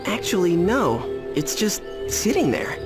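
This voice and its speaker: A man's voice: sits high, big pitch swings, a little slow. An actor playing a breezy, confident teenage boy hero in a video game cutscene. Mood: pleased, casual. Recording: loud music under the voice.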